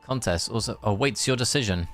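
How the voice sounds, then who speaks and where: A man's voice: on the low side, a little fast, a bit bright, soft background music. A man streaming a game, reading story text aloud with sarcastic, joking asides.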